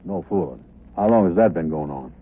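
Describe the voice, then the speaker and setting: An actor playing a slow-witted, stubborn, gullible man who speaks in muddled folksy dialect for 1950s radio comedy. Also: low-pitched, animated, husky. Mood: puzzled. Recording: tinny, narrow-band old radio recording.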